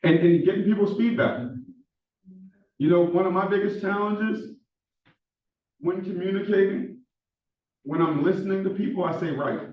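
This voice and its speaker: A man with a Philadelphia accent is teaching a class, his delivery quick and loose with plenty of filler words. His voice sits slightly high for a man. He is heard from across a strongly echoing classroom, and the sound is dull. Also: distant mic. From Philadelphia, USA. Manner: talks fast with many fillers, says he is monotone. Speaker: instructor. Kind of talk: classroom lecture. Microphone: far room microphone. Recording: echoey classroom recorded from afar, dull sound.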